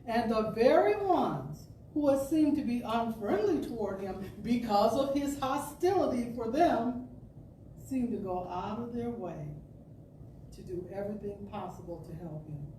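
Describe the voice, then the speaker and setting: A woman giving a deliberate, expressive inspirational reading, pausing between phrases like a storyteller addressing listeners. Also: on the high side. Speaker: storyteller reading a spiritual radio talk. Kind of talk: dramatic inspirational reading to an audience. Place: indoor spiritual center hall. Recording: roomy, distant mic with faint noise.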